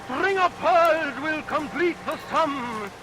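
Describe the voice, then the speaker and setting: An actor playing a greedy, murderous London barber, oily and menacing, in old-time melodramatic radio style. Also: male voice, extremely high pitch, slow, full character voice. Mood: greedy, gloating. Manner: theatrical, swings from fawning to furious snapping. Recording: noisy vintage radio recording, rain over it. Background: steady rain.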